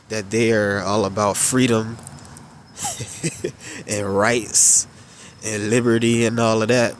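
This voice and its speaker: A man with a stuffed-up, nasal voice giving unhurried religious commentary, drawing out his phrases with filler pauses. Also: lowish voice, a little hiss or hum.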